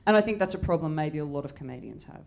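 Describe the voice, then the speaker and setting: A woman's voice: deep voice, animated, quick pace, vocal fry, a little mellow. A woman from Australia, a comedian, chatting casually in an interview with self-mocking humour and lots of restarts. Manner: self-deprecating, laughing, rambling with restarts. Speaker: comedian guest. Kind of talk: casual podcast interview chat. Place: airport lounge.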